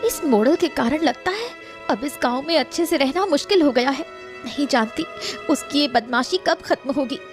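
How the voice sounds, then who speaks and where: A woman's voice: high-pitched, expressive, normal speed, over music. A woman voicing a fisherman's young wife in a cartoon, who speaks in a worried, earnest way.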